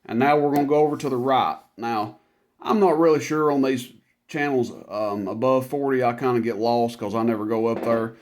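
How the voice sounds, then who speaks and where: A man's voice: medium pitch, moderate pace. A man giving a casual product demonstration as a seller, talking informally and rambling through each step as he goes.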